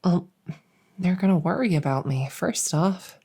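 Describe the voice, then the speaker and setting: A young princess, acted by a woman in an intimate audio drama, weary with duty and longing for freedom. Her voice is low for a woman, soft and breathy. She talks slowly and hesitantly, in a conversational way. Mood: hesitant, wry. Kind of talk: intimate audio roleplay acting.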